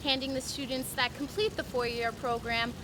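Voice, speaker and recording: Young woman reporter reading news copy, pretty high voice, noisy background. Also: fairly even intonation, normal speed. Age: 20s. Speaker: student television news reporter. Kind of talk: scripted news report narration.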